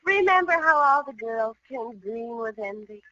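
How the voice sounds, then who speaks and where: High, lilting American sweetheart. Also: female voice, expressive, moderate pace, bright, forceful tone, no echo. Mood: affectionate. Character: sweet, devoted young fiancée. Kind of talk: 1940s radio comedy acting. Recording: dull old radio recording.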